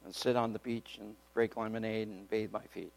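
An older man telling his faith story from the pulpit in a loud, lively way, laughing between points. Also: lowish voice, moderate pace, creaky. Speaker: guest speaker giving testimony. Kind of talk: testimony sermon. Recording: long hall reverb.

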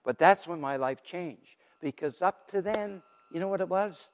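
Loud, animated older male preacher. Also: high voice, moderate pace. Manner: loud, animated storytelling with laughs. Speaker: guest speaker giving testimony. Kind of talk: testimony sermon. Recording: long hall reverb.

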